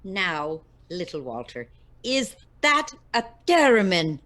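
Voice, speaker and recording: Sharp, bright-voiced older Irish wife. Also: female voice, medium pitch, very animated, slow. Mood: curious, boastful. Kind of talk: audio comedy drama acting.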